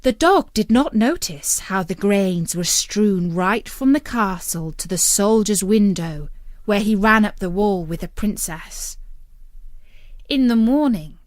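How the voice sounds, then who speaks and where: A woman narrating a fairytale as a storyteller, with an expressive and deliberate storybook delivery. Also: mid-range voice, no echo, clean studio sound.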